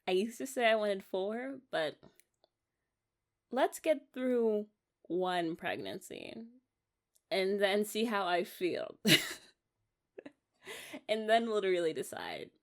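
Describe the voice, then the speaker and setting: A woman's voice: medium pitch, sing-song, slow pace, long pauses. A young American woman playing an eager, affectionate wife in an intimate ASMR romance roleplay, rambling and coaxing in a giggly way. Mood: playful, musing.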